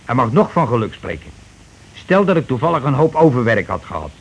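An actor playing a scheming, bossy working-class husband who talks glibly and colloquially in a radio play, always justifying himself. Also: male voice, medium pitch, very animated, fairly quick, breathy edge. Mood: glib, persuasive. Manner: glib, colloquial, self-justifying. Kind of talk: Dutch radio play acting. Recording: noisy old radio play recording.

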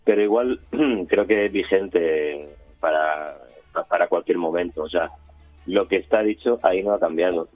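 A man's voice: low voice, unhurried, soft background music. A man with an accent from Spain answering casually in a radio interview, hesitant and often repeating words. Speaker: community radio member, interviewee. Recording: roomy, phone-like remote line.

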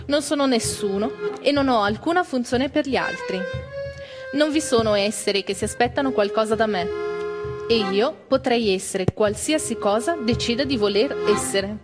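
A woman's voice: on the high side, normal speed. A woman performing a literary monologue as a reader in a theatre piece. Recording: voice over instrumental music.

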